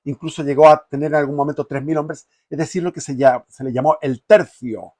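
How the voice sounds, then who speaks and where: A man giving an unscripted history talk, speaking haltingly with many fillers and restarts. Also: a little high, fairly even intonation, dark tone. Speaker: history commentator.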